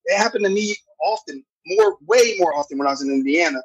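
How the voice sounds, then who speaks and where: A man with a loud, deep voice talking earnestly in a casual podcast discussion, often restarting his phrases. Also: expressive, fast talker, slightly rough, muffled recording. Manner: earnest, restarts phrases, keeps asking "you know what I'm saying". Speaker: podcast guest.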